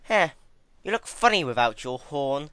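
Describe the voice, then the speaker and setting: A man's voice: a little high, sing-song, a little slow, bright, forceful tone, smooth, no room sound, pitched above the performer's own voice, full character voice. A man voicing a young female pony tyrant, teasing and gloating in a mockingly cheerful way in a dramatic story reading. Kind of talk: dramatic fan fiction reading.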